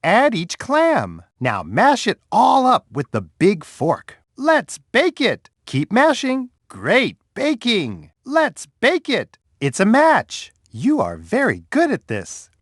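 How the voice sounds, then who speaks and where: A man voicing a big, friendly male bear in a children's game, who speaks slowly and coaxingly to a young child. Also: high voice, huge pitch swings, no echo, clean studio sound, exaggerated voice. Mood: encouraging. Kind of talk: educational game voice clips.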